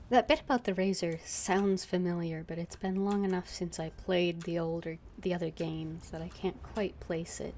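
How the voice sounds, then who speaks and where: A woman's voice: lowish voice, fairly even intonation, a little slow, mellow, a little hiss or hum. A woman streaming a game with casual commentary, hesitant and thinking aloud, often trailing off.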